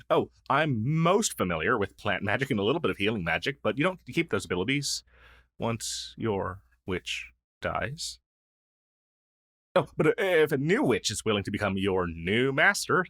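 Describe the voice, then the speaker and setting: A man's voice: mid-range voice, a bit bright, no echo, clean studio sound. A man playing a friendly, shy crow familiar in an intimate fantasy audio roleplay, speaking in a hesitant, earnest, conversational way. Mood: eager, explaining. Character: friendly, shy crow familiar, a masterless male magical helper. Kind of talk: intimate ASMR roleplay acting.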